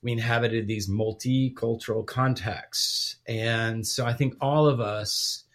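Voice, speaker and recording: A man's voice: medium pitch, fairly even intonation, measured pace, fairly bright tone. A middle-aged man from Guatemala, a podcast guest chatting casually in a self-deprecating, rambling way with restarts. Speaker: podcast guest, theology professor. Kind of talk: casual chat.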